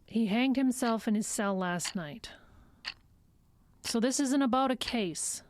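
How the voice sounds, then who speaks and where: An actress playing a measured, firm and slightly condescending psychologist in an audio drama. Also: female voice, fairly high pitch. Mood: earnest, firm.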